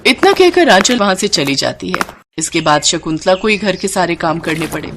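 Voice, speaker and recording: A woman's voice: fairly low pitch, big pitch swings, normal speed, a bit bright, slight background noise. A woman reading scripted story narration.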